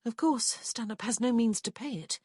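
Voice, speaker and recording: A woman's voice: fairly high pitch, normal speed, darkish tone, breathy edge, no room sound, clean studio sound, pitched above the performer's own voice. A woman voicing an anxious young lady in love who speaks tenderly and breathily. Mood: confiding.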